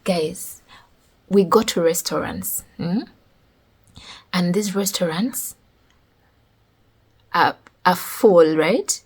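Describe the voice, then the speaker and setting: A young woman from Uganda, chatting casually and telling animated stories as a vlogger, with a husky voice. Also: on the low side, very slow, long pauses. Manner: animated storytelling with laughs. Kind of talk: casual chat.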